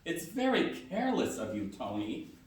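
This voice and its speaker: A woman's voice: low-pitched, huge pitch swings, moderate pace. A woman playing a stiff, disapproving middle-aged society wife in a live radio play, prim and frosty. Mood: affronted. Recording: very echoey hall, distant mic.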